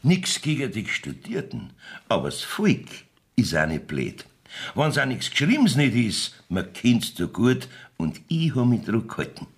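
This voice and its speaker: An actor playing a blustering, evasive rustic village judge in a radio play, folksy and bossy, with a Bavarian accent. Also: male voice, medium pitch, big pitch swings, a little slow. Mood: defiant, grumbling. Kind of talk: 1970s dialect radio play acting.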